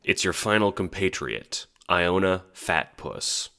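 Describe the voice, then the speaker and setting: A man's voice: deep voice, animated, slow pace, bright, forceful tone, smooth. A man delivering scripted second-person comedic narration as the narrator of an audio drama.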